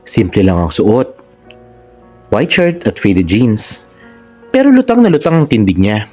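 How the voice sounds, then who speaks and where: A man's voice: on the low side, sing-song, measured pace, dark tone, faint music behind. A man with a Filipino accent reading a scripted romantic story as narrator. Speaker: narrator and channel host.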